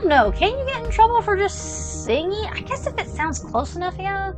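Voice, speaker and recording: A woman's voice: extremely high pitch, big pitch swings, normal speed. A young woman chatting casually while playing a video game, rambling with lots of fillers and side tangents. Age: young adult. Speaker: gaming channel host. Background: game music.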